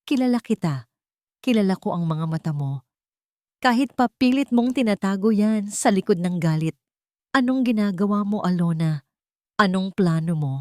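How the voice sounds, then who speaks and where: A woman's voice: medium pitch, animated, moderate pace, long pauses, a little mellow, dry recording, clean studio sound, voice pushed up, natural voice. A woman voicing an earnest man in an audiobook drama, urgent and pleading as he confronts a lost love. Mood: desperate pleading.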